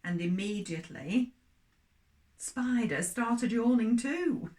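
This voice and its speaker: A woman's voice: a little high, expressive, slow pace, warm tone. An older woman telling a children's story expressively, addressing small children.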